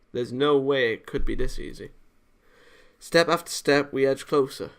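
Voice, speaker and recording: Creaky-voiced man reading a game aloud. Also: mid-range voice, a bit bright. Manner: often stumbles over words and rereads them. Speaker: gaming video maker reading a visual novel aloud. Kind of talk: dramatic read-aloud with off-the-cuff reactions.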